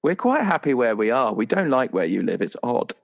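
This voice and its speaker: A man's voice: mid-range voice, big pitch swings, fairly quick, gravelly. A man chatting casually and playfully as a tabletop roleplaying player, enthusiastic and prone to ramble. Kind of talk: casual chat about a game character.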